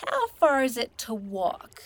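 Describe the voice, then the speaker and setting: A woman's voice: fairly high pitch, wildly swooping pitch, takes it slowly, heavily acted. A woman playing a curious, uneasy young human traveller in a fantasy audio drama, speaking in an informal, chatty way. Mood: wary, relieved.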